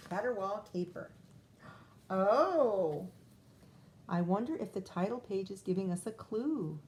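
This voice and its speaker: Unhurried woman teacher reading to young children. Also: fairly low pitch, slight background noise. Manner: expressive, drawn-out reading with chatty asides to children. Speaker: first-grade teacher. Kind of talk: read-aloud picture book storytelling for young children.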